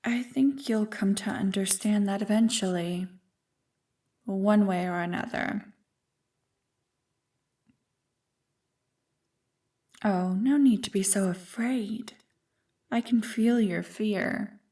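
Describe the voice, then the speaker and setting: A woman's voice: medium pitch, slow pace, warm tone, distant mic. A woman playing an ancient, manipulative evil creature in an ASMR roleplay, teasing and condescending as she savors her words. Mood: smug, soothing. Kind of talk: ASMR roleplay, scripted monologue to the listener. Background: music.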